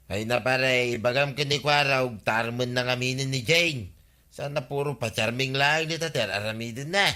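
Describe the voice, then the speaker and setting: Male actor as village complainer, Ilocano accent, piercingly bright voice, gossipy, aggrieved, radio comedy drama. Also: medium pitch, moderate pace, natural voice. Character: aggrieved, gossipy village man demanding his lost things back.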